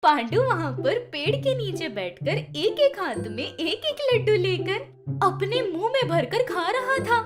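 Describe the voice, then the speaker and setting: A woman's voice: extremely high pitch, very animated, measured pace. A woman narrating a scripted children's story as the storyteller. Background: music.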